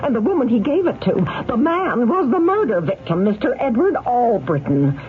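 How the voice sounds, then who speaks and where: An actress playing a flustered, insistent former shop manager on the witness stand in a radio drama, halting and emphatic. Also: female voice, a little high, sing-song, a little hiss or hum. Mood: urgent, accusing. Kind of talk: 1970s radio drama acting. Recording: tinny vintage radio recording, music bed.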